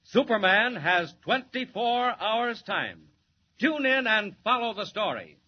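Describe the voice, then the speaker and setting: A man's voice: sits high, very animated, takes it slowly. A man announcing a 1940s radio serial in a dramatic, urgent style full of rhetorical questions. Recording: muffled noisy old radio recording.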